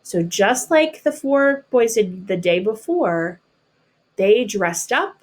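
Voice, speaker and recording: A woman with an American accent reads a picture book aloud like a teacher, pausing to explain things simply and patiently to children. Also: medium pitch, expressive. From North Carolina, United States.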